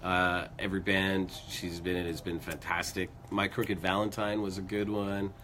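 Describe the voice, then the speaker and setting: Hoarse, low-voiced man chatting. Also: level tone, moderate pace, fairly bright tone, slight background noise. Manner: rambling, upbeat, lots of fillers. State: hoarse, losing his voice. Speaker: co-host of a music news show. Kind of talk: casual chat.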